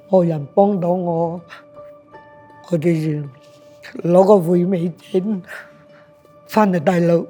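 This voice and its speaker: Slow, high-pitched man with mellow tone. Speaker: legal aid client. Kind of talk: personal testimonial.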